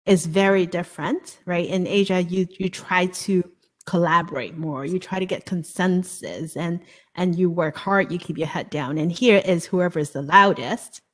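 A woman from Hong Kong, interviewing a guest, speaking in an earnest, reflective way with long connected thoughts. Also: lowish voice. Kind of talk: conversational interview.

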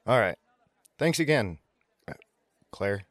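Man playing low-voiced zombie survivor telling his story. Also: very animated, slow. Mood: friendly, casual. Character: injured male zombie-apocalypse survivor, easygoing and polite. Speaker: narrator and lead character. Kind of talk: audio drama narration and dialogue.

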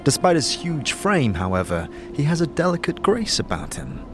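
A man narrating a fantasy audio drama in a measured, atmospheric storytelling style. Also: fairly high pitch, huge pitch swings. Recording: clear background music.

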